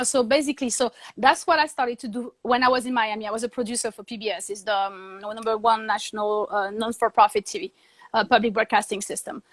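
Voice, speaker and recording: This woman talks in a somewhat high voice and tells her story with animation as an interview guest. She often slips into quoting her own past thoughts. Her sound is dry and close, with little room around it. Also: dry recording. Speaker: guest, TV producer and journalist.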